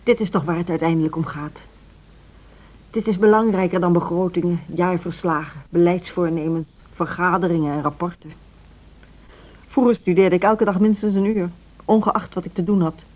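A woman's voice: lowish voice, fairly even intonation, moderate pace, a little mellow, close to an everyday voice. A middle-aged woman acting a dedicated, earnest music school director in a Dutch social radio drama. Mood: wistful, rapturous. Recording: dull, band-limited radio drama recording.

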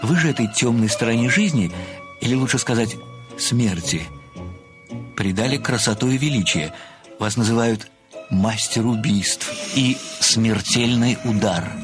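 A medium-pitched man , acting as an obsessive fan who plays detective in an audio drama. He is deferential yet insistent, setting out his words deliberately, with faint music underneath. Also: lightly acted. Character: obsessive, polite male admirer turned amateur detective.

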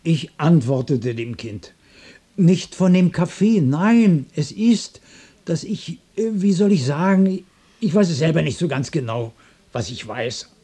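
A restless, irritable loner in a radio play. His voice is husky and mellow, set a little high. He muses slowly in broken, repeated phrases and can turn cranky. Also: expressive. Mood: weary, gruff. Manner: broken, repetitive musing, breaking into cranky outbursts. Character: distraught, irritable, restless loner adrift in the world. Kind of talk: literary radio drama monologue and dialogue.

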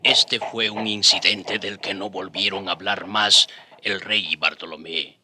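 Low-voiced, earnest storyteller. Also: male voice, fairly even intonation, a little slow. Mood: earnest, reflective. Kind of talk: scripted radio drama narration. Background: music.

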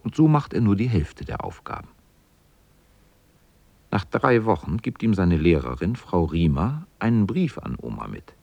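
A man with a husky voice narrating a scripted children's story as the narrator of a radio drama. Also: low voice, very animated, normal speed, dark tone, dry recording, highs cut off.

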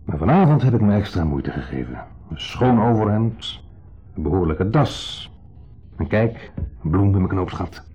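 An actor playing a shabby, self-pitying ex-husband who talks with deliberate, quiet menace in a radio drama. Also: male voice, low voice, husky, full character voice. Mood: wistful. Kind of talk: 1970s radio drama acting. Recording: dull 1970s radio play recording.

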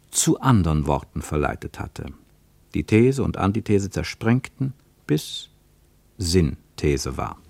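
A male narrator reads a literary travel story in a measured, storytelling way. His voice sits fairly low and sounds dry and close to the mic. It suits a vintage studio radio play. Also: creaky, no echo. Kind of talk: scripted narration in a radio drama.

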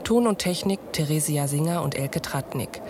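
A woman's voice: sits low, lively intonation, normal speed. A woman announcing the cast and credits of a radio play in a scripted style. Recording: clearly noisy radio broadcast ambience.

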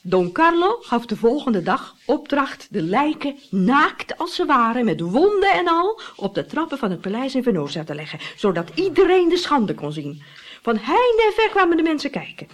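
Gossipy widow with swooping, dramatic delivery. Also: female voice, high-pitched, big pitch swings, normal speed. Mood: relishing, confiding. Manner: dramatic, confiding storytelling with tag questions. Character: gossipy Italian widow relishing grisly scandal, pious. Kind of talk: radio drama acting.

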